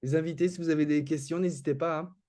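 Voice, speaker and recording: A man hosting an online meeting in a relaxed, friendly way, inviting guests to ask questions. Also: on the high side, fast.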